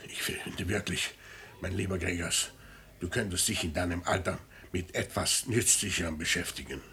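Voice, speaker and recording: Low-voiced, imperious aging father. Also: bright, forceful tone. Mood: measured, persuasive. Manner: measured, formal, flaring into indignation. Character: aging, imperious merchant father, defensive, lonely, calculating. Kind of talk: radio play acting of classic stage drama.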